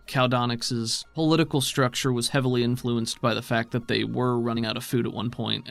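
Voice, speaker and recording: A man's voice: mid-range voice, gravelly, fairly bright tone. A man working as game master, improvising narration for a tabletop role-playing game.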